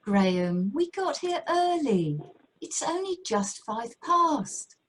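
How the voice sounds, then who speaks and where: An amateur actress playing a put-upon, placating middle-aged British wife in a radio play. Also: female voice, high-pitched, big pitch swings, slow, heavily acted. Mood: pleading, harried. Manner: harried, conciliatory.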